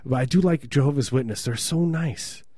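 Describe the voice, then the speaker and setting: A man's voice: medium pitch, brisk, mellow, no echo, studio quality. A man doing improvised comedy banter as a podcast co-host, joking and drifting into silly bits. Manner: joking, slips into silly put-on voices.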